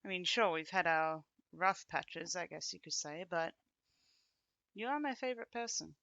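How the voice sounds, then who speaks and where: A woman acting an affectionate, teasing companion in an intimate ASMR roleplay, speaking casually and conversationally with pauses. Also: lowish voice, lively intonation, fairly bright tone, no echo, dull audio. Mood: playful, casual.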